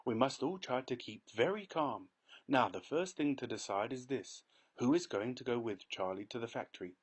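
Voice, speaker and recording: A man voicing a sensible, calm mother in a storybook, gentle but firm. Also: mid-range voice, normal speed, slightly rough.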